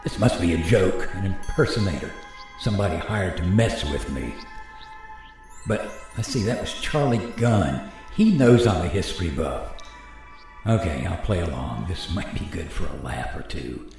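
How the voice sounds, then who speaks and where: A man's voice: deep voice, expressive, normal speed, vocal fry, a little mellow, soft background music. An actor playing a skeptical, good-humored American family man in a radio play, wry and incredulous, recorded in an echoey room. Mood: wry, musing. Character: George Morgan, skeptical, good-humored American airline pilot and father.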